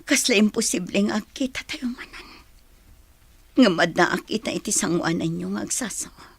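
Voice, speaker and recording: A woman's voice: mid-range voice, normal speed, slightly rough, no echo. A woman playing an earnest grown daughter in an Ilocano radio drama, speaking emotionally with an Ilocano accent. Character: earnest grown daughter reunited with her father.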